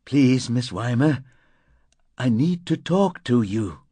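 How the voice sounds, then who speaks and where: A man's voice: mid-range voice, expressive, takes it slowly, warm tone, slightly rough, no echo. A man voicing a volatile, blunt, aggressive and desperate man in an audiobook. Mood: pleading. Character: volatile, foul-mouthed man, angry and desperate.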